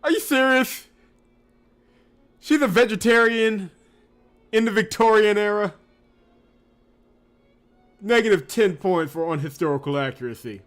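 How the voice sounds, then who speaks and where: A man's voice: high-pitched, lively intonation, measured pace, long pauses, a bit bright, clear, resonant tone. A man doing joking, sarcastic playthrough commentary and reading out narration, with game music behind. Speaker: let's player.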